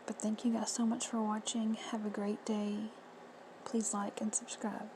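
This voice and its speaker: A woman with a hoarse, husky voice giving plain, friendly step-by-step instructions in a casual how-to. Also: mid-range voice, little pitch movement, unhurried. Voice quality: whispery, husky. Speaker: reseller tutorial host. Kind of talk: casual screen-recorded how-to. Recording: noisy home recording.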